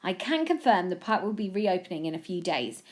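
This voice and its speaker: A woman's voice: on the low side, big pitch swings, a little fast. A woman voicing a cheerful young male prime minister in a children's story, breezy, confident and earnestly silly.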